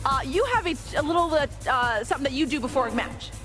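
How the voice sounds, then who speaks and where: A woman in her thirties, a TV morning show reporter doing bubbly, excited live interviews on an outdoor soccer field with a handheld mic. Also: high voice, fast talker, faint music behind.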